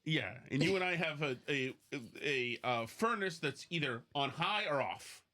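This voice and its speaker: Excitable, profane male podcast host. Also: a little high, a little slow. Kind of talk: casual opinionated banter.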